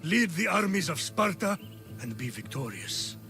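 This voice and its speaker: A man playing a stern, proud, middle-aged Spartan general and father in a video game, speaking in a measured, solemn, commanding way. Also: high voice, very animated, heavily acted. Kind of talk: dramatic video game acting. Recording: music behind the voice.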